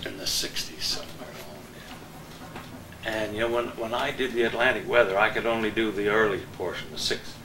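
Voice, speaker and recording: Older male guest, lowish voice, casual nostalgic chat, off-mic with noise. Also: sharp tone. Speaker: former TV weatherman, guest. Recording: echoey room, distant mic, clear noise.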